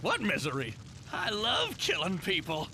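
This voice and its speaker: A man's voice: sits high, wildly swooping pitch, a little slow, sharp tone, a little hiss or hum, full character voice, low-quality recording. An actor playing a sadistic male killer car that relishes being evil in a cartoon. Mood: gleefully sadistic.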